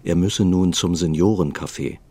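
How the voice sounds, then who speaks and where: A man's voice: low-pitched, normal speed, warm tone. A man narrating a scripted radio play as its storyteller.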